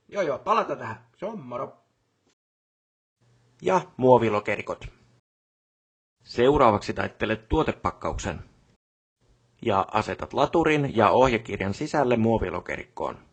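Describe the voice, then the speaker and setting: An actor playing a businesslike male company boss in a video game, talking matter-of-factly in corporate jargon and brisk orders. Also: fairly high pitch, a bit bright, slightly rough, exaggerated voice. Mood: eager, scheming. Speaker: boss instructing employees. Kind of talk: video game character dialogue.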